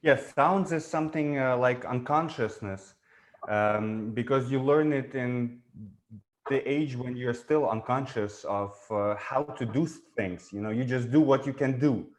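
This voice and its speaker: A man's voice: medium pitch, measured pace. A man, not an English speaker, talking through ideas in a conversational discussion, thoughtful and prone to restarts. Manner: thoughtful, explains at length with frequent restarts. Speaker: guest.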